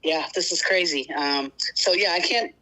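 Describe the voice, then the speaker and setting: A woman's voice: on the low side, normal speed, pushed and bright, poor audio. A woman in her fifties from Boston, calling in and chatting casually with many fillers. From Boston, United States. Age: 50s. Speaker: caller. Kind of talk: casual call-in chat.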